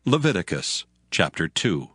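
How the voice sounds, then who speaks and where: Deep-voiced male chapter announcer. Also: big pitch swings, measured pace, a bit bright, breathy edge, no room sound, clean studio sound, soft background music.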